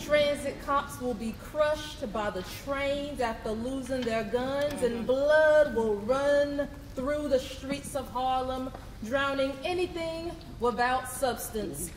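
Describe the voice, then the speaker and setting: A woman's voice: sits high, takes it slowly, hardly any pauses. A woman performing declamatory theatrical spoken word. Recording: roomy hall with audience noise.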